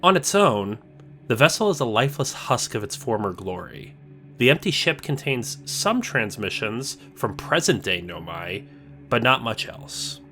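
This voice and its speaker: A man narrating a scripted video essay in a measured, explanatory way. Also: mid-range voice, very animated, sharp tone, faint music behind.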